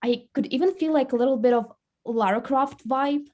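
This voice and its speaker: High, soft-toned woman interviewer. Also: normal speed. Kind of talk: casual film interview questions.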